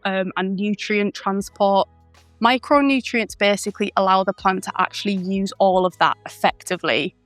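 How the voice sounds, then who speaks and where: A woman who is unwell and croaky, explaining a topic in a chatty, informal way as the host of a channel. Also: mid-range voice, fairly bright tone, no room sound. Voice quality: gravelly. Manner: chatty, informal, with fillers and self-corrections. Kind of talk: educational explainer.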